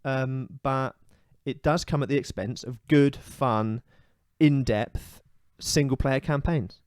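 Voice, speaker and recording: British male podcast co-host, medium pitch, emphatic and repetitive griping, casual delivery, dry recording. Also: slow pace, a little mellow, clean tone, no room sound. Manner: emphatic, repeats himself, complaining. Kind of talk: casual opinionated gaming chat.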